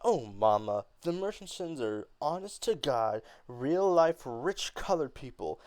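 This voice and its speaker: A man voicing an angry, defiant young woman in an amateur dramatic play reading, with halting, emphatic delivery. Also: medium pitch, darkish tone, no room sound, pitched above the performer's own voice. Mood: indignant, scornful.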